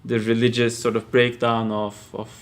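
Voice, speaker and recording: Measured Turkish-accented man, careful speech. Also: medium pitch, monotone. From Turkey. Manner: careful, measured, hesitant with many fillers. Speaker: podcast guest, academic. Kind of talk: casual interview talk. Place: basement room.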